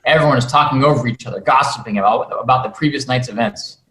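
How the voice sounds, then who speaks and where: A man's voice: mid-range voice, quick pace, sharp tone. A man reading stage directions aloud as screenplay narration at a cast table read. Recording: echoey room.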